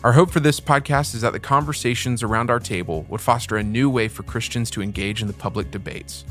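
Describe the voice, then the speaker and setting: A man's voice: mid-range voice, fairly quick, fairly bright tone, soft background music. A man hosting a podcast, giving introductions and interviewing a guest in a conversational, presenter-like style. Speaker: podcast host.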